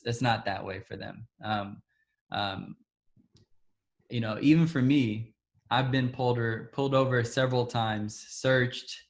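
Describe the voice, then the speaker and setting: A man from Ethiopia, interviewed and telling a personal story in a halting way, with frequent restarts and soft, trailing asides. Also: mid-range voice.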